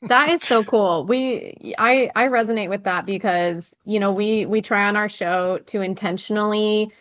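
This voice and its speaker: A woman's voice: medium pitch, normal speed, a bit bright, dull audio. A woman hosting a casual podcast interview in an encouraging, affirming way.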